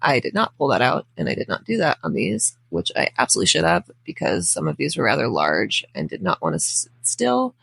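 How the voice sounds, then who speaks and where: A woman hosts a casual how-to voice-over in a crackly, under-the-weather voice. Her pitch moves in a lively way as she rambles informally through asides. The recording is close and dry. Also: a little fast, few pauses, dry recording. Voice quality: crackly, raspy. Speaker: nail art tutorial host.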